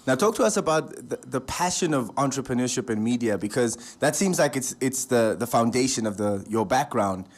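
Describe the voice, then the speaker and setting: A man's voice: mid-range voice, animated, a little fast, few pauses. A male radio host interviewing a guest in a studio, friendly and chatty, with fillers and stumbles.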